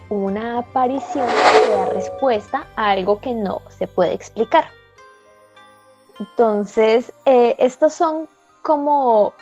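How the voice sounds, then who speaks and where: A woman co-hosting a talk show, explaining things carefully and pausing on fillers as she goes. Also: medium pitch, mellow. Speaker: co-host. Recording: slightly dull lo-fi audio.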